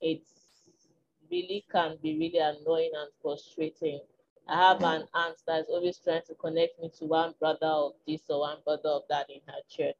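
A woman's voice: low voice, expressive, normal speed, vocal fry, dull audio, slight background noise. A woman with a Nigerian accent and a small, tiny voice, talking frankly and reflectively as an interview guest, with frequent ums. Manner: reflective, frank, with filler ums and soft laughs. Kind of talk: candid conversational chat.

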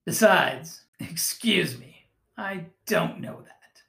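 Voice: mid-range voice, lively intonation, slow pace, creaky, fairly bright tone, echoey room, exaggerated voice. A reader voicing a bitter, miserly old man who answers with curt scorn, read from a storybook. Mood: scornful.

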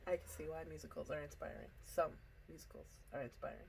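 A woman's voice: low voice, sing-song, fast talker, vocal fry. A young woman with a congested, stuffy nose, chatting casually and teasing on a podcast. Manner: teasing, laughs often. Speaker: podcast co-host. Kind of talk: casual chat.

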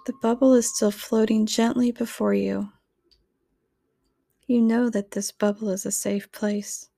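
Female narrator for guided relaxation: gentle and soothing, even-pitched, unhurried, measured scripted read. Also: vocal fry, no room sound, studio quality. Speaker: meditation guide.

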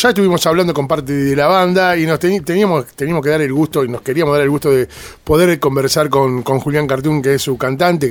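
High-voiced Argentine man hosting radio. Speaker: radio interviewer, host. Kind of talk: casual radio interview. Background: music.

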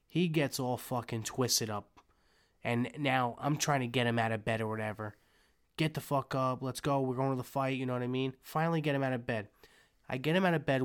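A young man co-hosting a casual podcast, telling a rambling story full of swearing and "you know what I mean". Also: medium pitch. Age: young adult. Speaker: podcast co-host. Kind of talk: casual chat.

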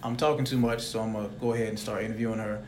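A man's voice: fairly low pitch, flat delivery, very fast, slight background noise. A young man hosting a casual interview, talking in a rambling, reflective way. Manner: rambling, reflective, soft-spoken.

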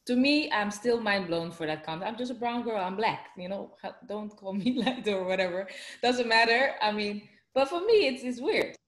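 A woman's voice: medium pitch, animated, normal speed, reverberant. A woman with a Dutch accent, chatting casually in an interview with lots of fillers and restarts. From Netherlands.

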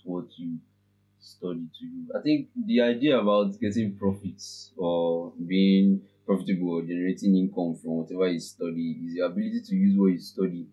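A man, a podcast guest in casual chat, thinking aloud with pauses and frequent laughs. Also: low-pitched, mellow, lo-fi sound. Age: 20s.

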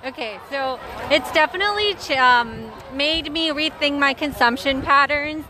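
A woman's voice: sits high, moderate pace, pushed and bright. A woman being interviewed outdoors at a protest, speaking thoughtfully and hesitantly with many fillers. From immigrant recently moved to Canada. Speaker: interviewee at a climate strike. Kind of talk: street interview. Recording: outdoor crowd noise.

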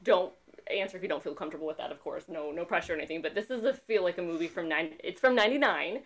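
A woman's voice: fairly low pitch, racing. A woman in her thirties co-hosting a casual podcast chat, rambling and laughing often. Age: 30s. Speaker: podcast co-host.

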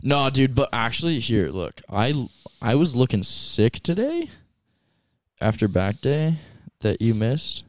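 A man's voice: medium pitch, sing-song, slow pace, no echo, studio quality. A young man co-hosting a podcast, talking about the gym in a laid-back way, with lots of filler words. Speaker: podcast co-host. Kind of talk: casual chat.

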